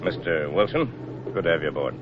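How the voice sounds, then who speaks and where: A stern Navy admiral speaks low, with formal, measured authority. It is 1940s radio drama, muffled and dull, with clear background noise. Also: male voice, lively intonation. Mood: formal, commanding.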